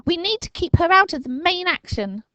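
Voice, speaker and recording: An excitable, frightened young boy from a storybook, as a woman reads him out, speaking in a high, shrill, urgent voice. He stammers when scared, and his pitch swoops up and down. The sound is close and dry. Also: normal speed, dry recording, exaggerated voice.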